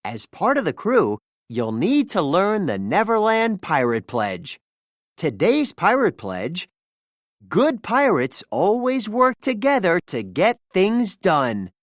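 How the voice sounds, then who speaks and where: An expressive children's storybook narrator with very lively, swooping intonation. The reading is unhurried and theatrical, in a close, dry recording. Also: medium pitch, no room sound. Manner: expressive, acts out dialogue.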